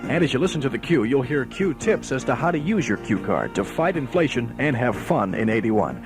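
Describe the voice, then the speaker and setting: A man's voice: medium pitch, sing-song, fairly quick. A man reading a scripted radio station promo as its announcer. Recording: music bed under radio promo. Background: promo music.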